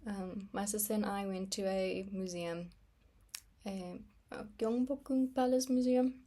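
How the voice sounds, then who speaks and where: A woman's voice: mid-range voice, fairly even intonation, a little slow, warm tone. A young woman whose first language is Afrikaans, rambling casually about her art in a vlog while stamping and sketching at her desk, with frequent ums and small laughs. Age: young adult. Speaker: artist vlogger.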